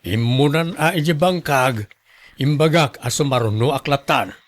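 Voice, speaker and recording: A man's voice: mid-range voice, measured pace, dry recording, studio quality, natural voice. A man playing an exasperated, grumbling village husband and humble watchman in a radio drama. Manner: grumbling, put-upon.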